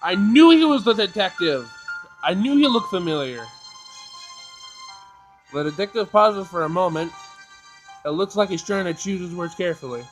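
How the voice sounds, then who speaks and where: High-voiced man doing an expressive let's play. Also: normal speed, long pauses, low-quality recording. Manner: expressive reading, stumbles and rereads. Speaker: gaming video host. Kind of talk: let's play, reading game text aloud dramatically with commentary. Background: game music.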